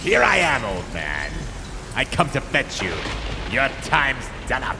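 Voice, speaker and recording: A man's voice: mid-range voice, huge pitch swings, measured pace, gravelly, heavily acted. A man playing a menacing Devil with a folksy, theatrical backwoods drawl in a live horror story. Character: menacing folksy Devil come to fetch a sinner. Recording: noisy live hall recording, long reverb.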